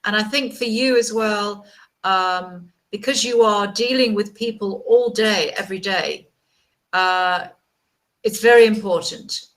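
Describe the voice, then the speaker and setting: A woman's voice: medium pitch, a little slow, fairly bright tone. A woman chatting as an online English tutor, encouraging and chatty, often repeating words. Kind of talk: casual video-call chat.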